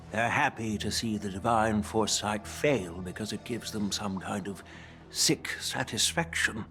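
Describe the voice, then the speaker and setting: A man's voice: mid-range voice, a bit bright. A man voicing a seasoned elder general, grave and formal, in an anime-style video game. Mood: disdainful. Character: stern, seasoned elder general, weighty and frank.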